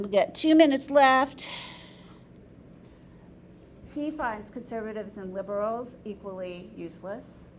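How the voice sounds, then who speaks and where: A woman leading an informal lecture and group discussion in an opinionated, emphatic way. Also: medium pitch. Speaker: discussion leader, teacher. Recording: noisy room recording.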